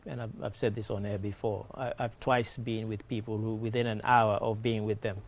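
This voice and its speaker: A man's voice: on the low side, little pitch movement, fairly quick, a little hiss or hum. A man talking conversationally as a morning show panelist, explaining current affairs and giving his opinions.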